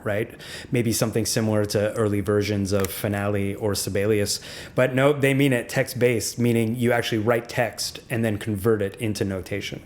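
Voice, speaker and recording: A man with a slightly raspy voice, narrating a video as the host, curious and candid as he explains. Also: fairly low pitch. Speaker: music software reviewer.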